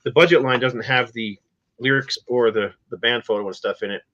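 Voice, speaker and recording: A middle-aged man with a medium-pitched, bright and forceful voice hosts a casual music chat. He sounds matter-of-fact and opinionated. The recording is slightly dull. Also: fairly even intonation, fairly quick. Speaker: podcast host.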